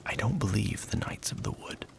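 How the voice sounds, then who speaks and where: A man playing a gentle, grave, sorrowful captain in a fantasy audio drama, hushed and hesitant. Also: moderate pace, in a whisper, lightly acted. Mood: hushed confiding. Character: sad, gentle, grave male captain called Professor. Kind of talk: audio fiction acting.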